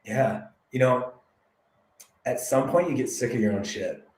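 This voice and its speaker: A man from the United States, a realtor guest on a podcast, telling his story in a candid, reflective, measured way. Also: medium pitch, echoey room, background noise. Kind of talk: podcast interview.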